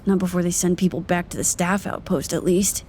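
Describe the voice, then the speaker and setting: A woman's voice: lowish voice, steady tone, fairly quick, dark tone, slight background noise, lightly acted. A woman acting in an audio drama as someone whimsically muttering to herself in a casual, rambling way. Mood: musing, playful.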